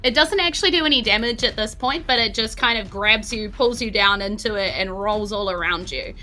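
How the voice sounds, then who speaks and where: A woman with a New Zealand accent playfully improvising narration as game master of a tabletop game, pausing as she describes the action. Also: mid-range voice, bright, forceful tone, slight background noise.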